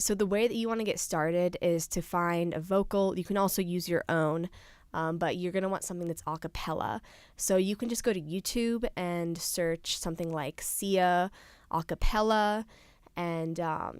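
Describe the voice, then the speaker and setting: Clear, low-toned young woman teaching. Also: no room sound. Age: young adult. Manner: casual, step-by-step explaining. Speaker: tutorial presenter, studio intern. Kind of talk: informal software tutorial.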